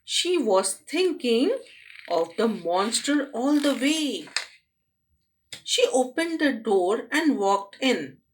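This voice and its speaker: Slow, animated woman storyteller. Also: fairly high pitch, slight background noise, low-quality recording. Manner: addresses small children, deliberate expressive phrasing. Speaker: storytelling teacher at a day care. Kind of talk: children's story read aloud.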